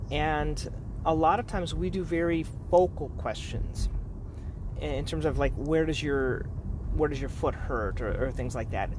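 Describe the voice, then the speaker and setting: A man's voice: on the high side, noisy background. A man talking informally as a podiatrist sharing practice advice with colleagues, in a conversational, explanatory manner. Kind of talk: informal talk to fellow professionals.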